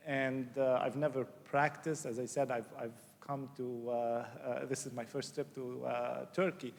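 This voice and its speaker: A middle-aged man from Lebanon, a child psychiatrist giving a conference lecture, talking off the cuff with many hesitations and restarts and the odd throat-clear. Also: medium pitch, a little mellow. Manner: clears throat now and then. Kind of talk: unscripted conference talk. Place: large conference hall. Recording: long hall reverb.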